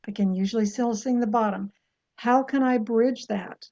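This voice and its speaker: A woman singer asking a measured question about gaps in her singing voice. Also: on the high side, warm tone. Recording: muffled lo-fi call audio.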